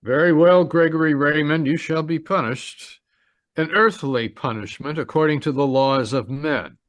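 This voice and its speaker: A man's voice: a little high, normal speed, no room sound, natural voice. A man acting a stern, formal homicide lieutenant in an old-time radio drama. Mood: solemn, judging. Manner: formal, authoritative. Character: stern homicide lieutenant, masterminding a trick confession.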